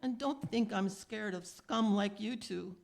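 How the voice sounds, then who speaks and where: A woman's voice: mid-range voice, unhurried, gravelly. A woman playing a scornful, streetwise old blind beggar woman in a 1930s-style radio crime drama, feisty and slangy. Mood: defiant.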